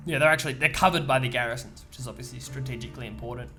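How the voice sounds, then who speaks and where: Bright-voiced laid-back male co-caster. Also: medium pitch, racing, faint music behind. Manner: laid-back, lots of "yeah" fillers. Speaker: game co-caster. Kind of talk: casual esports commentary.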